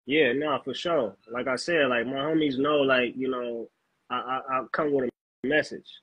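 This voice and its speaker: A man from Los Angeles, a rapper being interviewed, talking in a laid-back, halting way with lots of fillers. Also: medium pitch, fairly even intonation, slightly rough, no echo, highs cut off. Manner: laid-back, halting, fills with "you know what I'm saying". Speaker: guest rapper. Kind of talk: casual interview chat.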